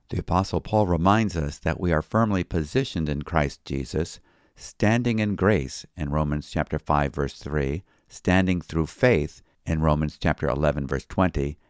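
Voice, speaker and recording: A man reading a scripted devotional commentary as a pastor, in a measured, formal way. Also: a bass voice, no echo, studio quality. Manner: measured, formal, citing chapter and verse.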